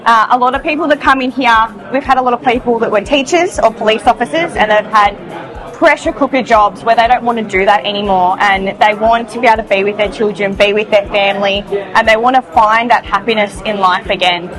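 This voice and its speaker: Upbeat woman, training manager, bubbly and loud, music bed. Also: on the high side, fairly quick. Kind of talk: interview answers. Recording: music bed under the talk.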